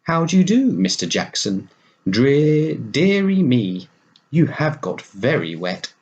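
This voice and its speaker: A man's voice: medium pitch, very animated, takes it slowly, a little mellow, highs cut off, pitched above the performer's own voice. A man voicing a fussy, tidy little female wood mouse with a prim, scolding manner in a storybook. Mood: dismayed.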